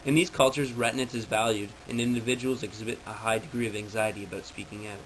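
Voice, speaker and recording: A man's voice: lowish voice, steady tone, fast talker, a bit bright, a little hiss or hum, low-quality recording. A man reading out a prepared informational presentation in a formal, textbook-like way.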